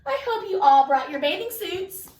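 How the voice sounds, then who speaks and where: Woman voicing an eager, high-pitched storybook schoolteacher. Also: animated, normal speed, a little mellow. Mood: bright, eager. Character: bright, eager woman schoolteacher, adventurous and cheerful. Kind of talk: storybook read-aloud character voice. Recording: echoey room, distant mic.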